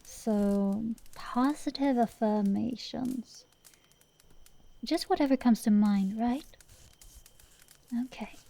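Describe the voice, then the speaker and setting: Woman acting a slow-talking, brash tomboy dragon girl. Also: a little high, vocal fry, mellow, heavily acted. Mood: soft, soothing. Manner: teasing, blustering, easily flustered. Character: brash tomboy tsundere dragon girl sorceress, gambler, secretly fond. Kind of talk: intimate roleplay ASMR audio drama.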